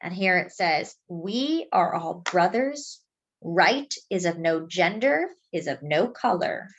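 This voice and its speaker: A woman reads a picture book aloud expressively, explaining things simply and deliberately as if to young children. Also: on the low side, animated, muffled recording, a little hiss or hum. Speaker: children's storybook reader.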